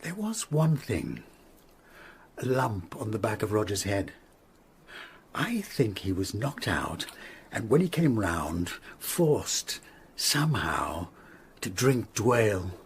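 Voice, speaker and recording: An actor playing a grave, methodical Tudor physician in a radio drama, explaining in a measured way. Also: male voice, lowish voice, huge pitch swings, slight background noise, full character voice. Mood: grave, analytical.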